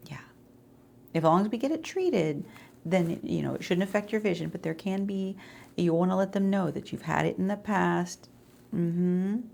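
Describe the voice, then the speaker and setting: A woman speaking softly in an ASMR medical roleplay, explaining things in a reassuring, conversational way as an eye doctor. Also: on the low side, lively intonation, normal speed, gravelly. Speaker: ASMR creator role-playing an eye doctor.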